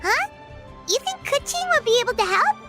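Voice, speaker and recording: Tiny squeaky game fairy sidekick, super high kiddie voice, excitable, all over the place. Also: female voice, normal speed, exaggerated voice. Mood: curious, concerned. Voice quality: squeaky, childlike. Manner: refers to herself in the third person, exclamatory. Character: small childlike floating fairy companion, excitable, food-loving. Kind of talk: English video game dub acting. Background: music.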